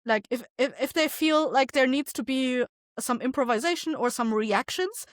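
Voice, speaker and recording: A woman speaking as an interview guest, explaining things informally and a little haltingly as she thinks. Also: sits high, dry recording, clean studio sound. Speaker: podcast guest, audio drama writer, director and voice actor. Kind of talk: casual interview conversation.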